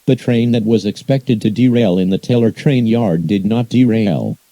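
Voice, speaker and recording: Man, emergency alert announcer, very dark mellow tone, dry sound, scripted, formal, impersonal. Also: fairly low pitch, moderate pace, no echo.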